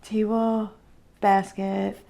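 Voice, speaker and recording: A woman playing the patient in an ASMR role-play, answering quietly in brief, low-key replies. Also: level tone, extremely slow, gravelly, rough recording.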